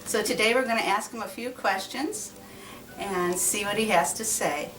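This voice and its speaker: A woman's voice: medium pitch, normal speed, fairly bright tone, recorded from a distance. A woman interviewing an older man, asking friendly, plain questions about his life. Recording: echoey, from off-mic, faint music.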